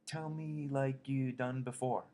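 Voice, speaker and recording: A big, simple-minded man with a childlike heart pleads and whines in a high voice, as a male reader acts him out. He sounds anxious and eager to please, a storybook figure. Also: moderate pace, close to an everyday voice.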